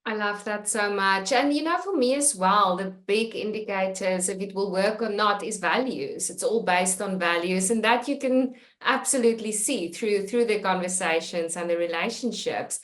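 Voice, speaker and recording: A woman's voice: mid-range voice, moderate pace, few pauses, a bit bright. A woman host whose first language is Afrikaans, asking questions in a casual interview and affirming her guest with enthusiasm. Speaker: podcast host.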